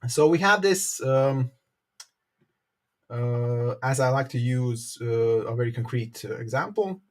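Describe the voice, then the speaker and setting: A man with a medium-pitched voice presents a live technical tutorial. He explains informally and a little haltingly, pausing often as he walks through each step. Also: animated. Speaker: presenter, company developer advocate.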